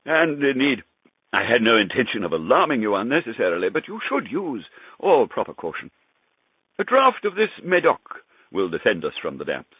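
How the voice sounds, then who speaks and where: A male actor plays a smooth-tongued, vengeful nobleman in an old-time gothic radio drama. He is solicitous and flattering, stressing words with big swings of pitch. The sound is husky and squeezed through a phone-like radio band. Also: moderate pace, no echo. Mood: soothing.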